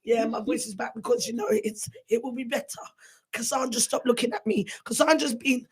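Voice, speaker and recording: A woman hosting live charity radio, excitable and loud with praise, her voice a little hoarse. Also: fairly high pitch, a little fast. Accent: British. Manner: excitable, shouty praise, laughing. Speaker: radio host.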